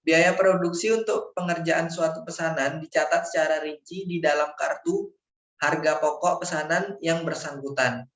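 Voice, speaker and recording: A man's voice: fairly high pitch, a bit bright. A young man, a university student formally reading out a scripted slide presentation, sometimes stumbling over words. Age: young adult. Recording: echoey room, dull lo-fi microphone.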